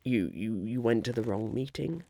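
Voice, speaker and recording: A man playing a polite, harried would-be businessman chairing a meeting in a soft-spoken, halting ASMR roleplay. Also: medium pitch, lively intonation, background noise, lightly acted. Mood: flustered, polite. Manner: hesitant, halting, politely patient.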